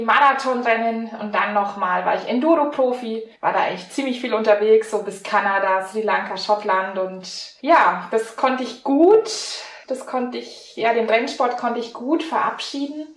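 A woman in her forties from Franconia with a Franconian accent, a mental coach chatting in an interview, laughing often and filling pauses. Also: medium pitch, reverberant. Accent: Franconian German. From Franconia, Germany. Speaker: mental coach and riding technique trainer. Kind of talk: casual interview answers.

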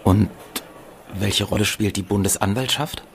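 An actor playing an inquisitive, sceptical journalist in a political radio play, with a probing, wry manner. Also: male voice, on the low side, a little slow, creaky, a little hiss or hum. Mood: questioning.

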